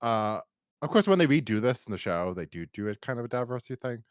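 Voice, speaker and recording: A man's voice: mid-range voice, very animated, fast, no echo, clean studio sound. An American man hosting a podcast, talking casually and enthusiastically in a rambling fan-review style. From United States. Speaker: podcast host.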